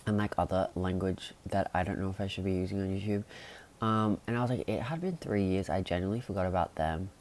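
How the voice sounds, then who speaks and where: Young man, very low voice, chatty vlog ramble, lots of fillers, excited pitch spikes. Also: quick pace, a little hiss or hum. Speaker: vlogger. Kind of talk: casual rambling chat to camera.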